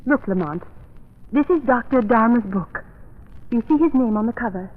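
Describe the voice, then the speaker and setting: A woman's voice: on the high side, animated, normal speed. An actress playing an earnest, anxious young woman in vintage radio drama style. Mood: urgent, pleading. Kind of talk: 1930s radio drama acting. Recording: tinny vintage radio recording.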